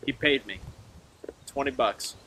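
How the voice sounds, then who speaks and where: A young man as a podcast guest, joking dryly with a low-key delivery. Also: measured pace, poor audio. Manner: dry, joking, self-described quiet and deep. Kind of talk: casual chat.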